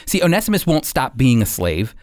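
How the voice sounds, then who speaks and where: A man's voice: medium pitch, big pitch swings, fairly quick, a little mellow, dry recording, studio quality. An American man presenting scripted radio commentary and Bible teaching as a co-host. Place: dry studio.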